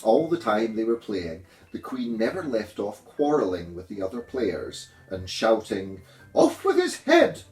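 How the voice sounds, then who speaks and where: A man narrates a children's story at a slow, careful pace with a very lively range. His voice sits fairly low, in a roomy, echoing space with faint music behind it. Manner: measured, expressive. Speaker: storybook reader, primary school teacher.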